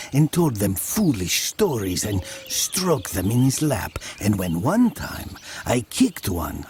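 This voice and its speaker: An actor playing a brooding village man who tells of his past in a measured, theatrical audio drama. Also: on the low side, gravelly, a little mellow, slight background noise, heavily acted. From southern Italy. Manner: slow, measured, theatrical, with pauses. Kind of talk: dramatic first-person audio drama narration.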